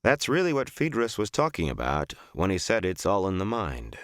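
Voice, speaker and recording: Man, low pitch, expressive range, dry close sound, audiobook narration, measured storytelling. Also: no echo. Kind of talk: scripted first-person audiobook narration.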